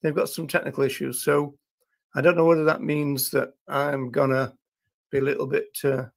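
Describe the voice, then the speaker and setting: An older man hosting a casual livestream, talking to viewers in a hesitant, halting way with drawn-out pauses. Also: mid-range voice, level tone, creaky. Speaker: livestream host. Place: small indoor room at a desk.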